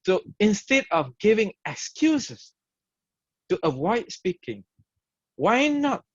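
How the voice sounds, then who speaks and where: Slow, emphatic Malaysian man hosting. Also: high voice, dry recording. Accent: Malaysian English. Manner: measured, emphatic, speaks in short repeated phrases. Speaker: event host and organizer. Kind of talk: opening speech at an online event. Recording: dull, lo-fi livestream audio.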